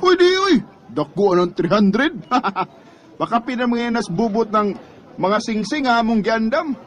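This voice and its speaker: An actor playing a rowdy, teasing teenage classmate in a radio drama, with a boisterous manner and a Cebuano accent. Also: male voice, very high voice, lively intonation, a little slow. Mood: gleeful, teasing. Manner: boisterous, laughing. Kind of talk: Bisaya radio drama acting.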